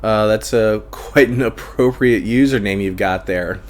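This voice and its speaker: A man with a fairly low voice hosts a live book club stream and chats easygoingly with his viewers. He sounds a little congested and pads his sentences with plenty of ums and uhs. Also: unhurried. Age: adult, long-time convention goer. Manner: hesitant, many fillers, relaxed and chatty. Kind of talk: casual live-stream chat reading viewer comments.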